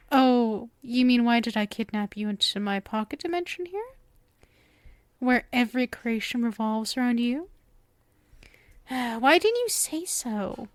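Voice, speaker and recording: A woman's voice: fairly high pitch, dry recording. A woman playing a sweet, clingy, obsessive witch in an ASMR roleplay, cooing coyly to the listener and slipping into whispers. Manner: coy, cooing, breaks into whispers. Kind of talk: ASMR roleplay acting, intimate address to listener.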